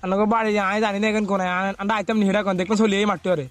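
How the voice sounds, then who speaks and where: A man's voice: sits high, flat delivery, racing. A man with a Noakhali Bangladeshi accent making a casual prank phone call, hesitant and repeating himself. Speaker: prank caller. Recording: phone call with faint music.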